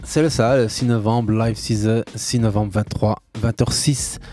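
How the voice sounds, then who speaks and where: Mellow-voiced male radio host. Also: on the low side, steady tone, a little slow, faint music behind. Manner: playful, wordplay-heavy intro patter. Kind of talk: casual radio football talk. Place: radio studio.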